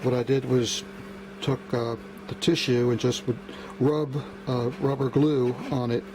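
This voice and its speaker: Noisy recording of a halting hobbyist man. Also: medium pitch, level tone. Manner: halting, unscripted, methodical. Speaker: hobbyist radio restorer. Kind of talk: casual demonstration vlog.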